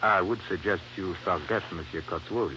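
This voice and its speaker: An actor playing a formal, condescending police inspector in an old radio drama. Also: male voice, low voice, normal speed, pushed and bright. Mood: veiled warning. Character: suave, authoritative Haitian police inspector, veiled menace. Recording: muffled, faintly noisy old radio recording.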